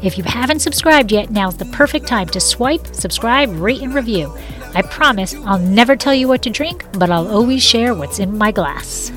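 A bubbly woman hosts a podcast and laughs easily. Her voice sits a bit high, with faint music behind her. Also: lively intonation, normal speed, fairly bright tone. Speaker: podcast host and sommelier. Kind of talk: podcast intro and casual interview.

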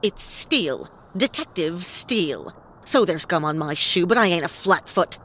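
An actress playing a cool, wry female detective, deadpan and wisecracking in a noir audio play. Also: lowish voice, unhurried, a little hiss or hum. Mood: smooth bluffing. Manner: deadpan, wisecracking, self-assured.

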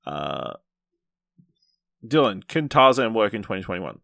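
A man's voice: on the low side, big pitch swings, measured pace, creaky, a bit bright, no echo, studio quality. A man hosting a podcast, chatting casually about film and reading out news items.

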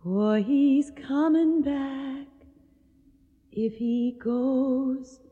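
Plaintive young woman, high and echoing. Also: drawn-out words, faint music behind. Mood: mournful singing. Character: sad young Tennessee woman, yearning for lost love. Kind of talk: 1980s radio drama acting with plaintive folk singing. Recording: dull audio, long cavernous reverb.

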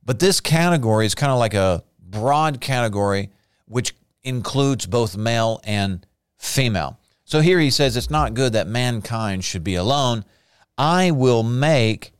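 A man's voice: mid-range voice, clear, resonant tone, dry recording, studio quality. A man teaching from the Bible in a sermon, explaining Hebrew words with emphatic bursts. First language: not Hebrew.

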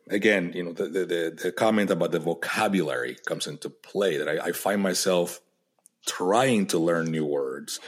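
Deep-voiced halting podcast host. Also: male voice. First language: Spanish. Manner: halting, repeats words while searching for them. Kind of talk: conversational podcast interview.

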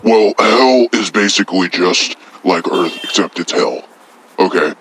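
Man playing a vulgar, boastful devil caller with a very low voice. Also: unhurried, fairly bright tone, a little hiss or hum. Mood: menacing. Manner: profane, blunt, rambling. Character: crude, boastful male devil, menacing and vulgar.

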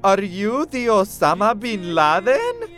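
A flamboyant fashion designer played by a man in a comedy, sassy and bewildered. The speech is slow and campy, with hugely swinging pitch. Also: wildly swooping pitch, music in the background, heavily acted. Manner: drawn-out, campy, dramatic.

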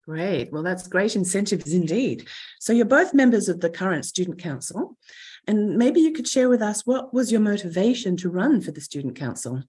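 Breathy woman interviewer. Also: medium pitch, sing-song, moderate pace, a little mellow. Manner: polite, formal questions. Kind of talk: scripted interview questions.

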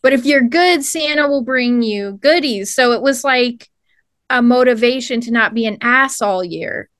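A woman with a mixed Cleveland and Southern accent, talking slowly as a podcast guest. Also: on the high side, a bit bright, dry recording. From Cleveland, Ohio. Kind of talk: casual chat.

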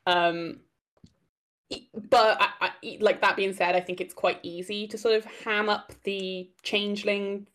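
Woman, light Welsh accent, mid-pitched, giggly and hesitant interview guest. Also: level tone, a little slow, a bit bright. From Wales. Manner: hesitant, giggly, many fillers. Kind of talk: casual interview chat.

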